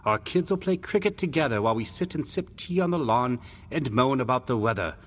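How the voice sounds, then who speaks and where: A hearty young elder brother, acted out by a man, talks briskly and protectively in a raised pitch. He is kindly and reassuring, in the manner of an audio storybook. A long reverb hangs around the voice. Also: expressive, fairly bright tone. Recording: long hall reverb, background noise.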